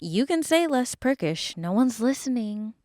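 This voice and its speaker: A woman's voice: fairly high pitch, very animated, a little slow, dark tone, no room sound, studio quality. A woman playing a brash, sarcastic dinosaur park animal handler in an audio drama, talking in a wry, colloquial way.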